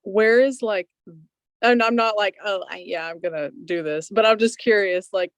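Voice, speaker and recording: A woman's voice: mid-range voice, moderate pace, no echo. A woman interviewer asking questions in a casual, conversational way.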